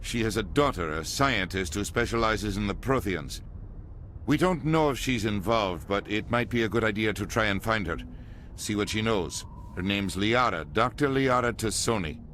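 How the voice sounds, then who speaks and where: A self-important male ambassador for a video game. His voice is low and forceful, with a brusque, officious delivery. There is faint noise in the background. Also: expressive, moderate pace. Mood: skeptical, brisk. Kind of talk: video game dialogue acting.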